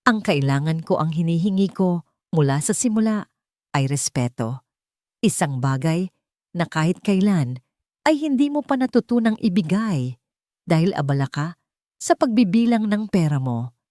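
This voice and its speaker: A woman's voice: on the low side, big pitch swings, moderate pace, long pauses, a little mellow, dry recording. Lady reader doing a hurt proud young filipina standing her ground, indignant, dry sound, love story drama. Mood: angry, wounded. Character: proud, wounded young working woman, indignant and firm. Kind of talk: dramatic audio story dialogue.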